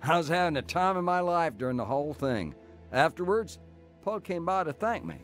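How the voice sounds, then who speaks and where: An actor playing a tough, plainspoken ex-criminal in a dramatized radio story. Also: male voice, fairly high pitch, sing-song, a little fast, faint music behind. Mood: wry, matter-of-fact. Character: tough male ex-criminal recounting his bootlegging youth. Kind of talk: dramatized first-person narration and dialogue.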